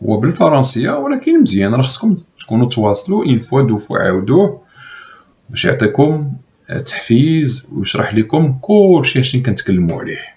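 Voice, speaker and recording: A man with a Moroccan accent giving a quick, advising intro to a video. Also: mid-range voice, very animated, a little mellow. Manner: urgent, advising. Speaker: channel host.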